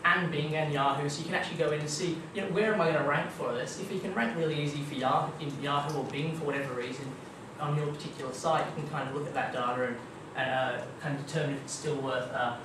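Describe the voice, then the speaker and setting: A man's voice: fairly high pitch, steady tone, quick pace, few pauses. Australian man presenting in an echoey room. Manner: chatty, many ums and kind-ofs. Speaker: guest speaker at a marketing meetup. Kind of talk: casual tech presentation. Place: dim meeting room with projector screen. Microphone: far room camera. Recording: echoey room, distant camera, noisy.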